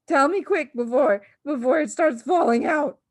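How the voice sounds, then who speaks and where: A woman voicing a vain, quick-tempered, blustering father in a storybook, shouting and wailing. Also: sits high, dry recording, studio quality, pitched above the performer's own voice, lightly acted. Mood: panicked.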